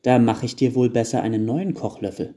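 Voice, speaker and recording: A man voicing a good-natured, unhurried carpenter in a storybook tale. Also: mid-range voice, mellow, lightly acted. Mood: good-natured, pondering.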